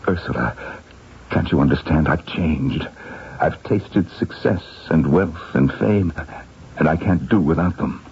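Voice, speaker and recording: An actor playing a self-important, ambitious husband, emphatic and coolly dismissive, in old-time radio drama style. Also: male voice, rumbling low, lively intonation, a little slow, heavily acted. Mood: selfish, firm. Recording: muffled, faintly noisy old radio recording.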